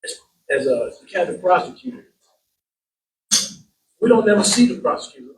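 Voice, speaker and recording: A man's voice: high-pitched, very animated, normal speed. A man, a city councilman, speaking up from the audience in a folksy, vernacular way. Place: large room with an audience. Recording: roomy, distant from mic.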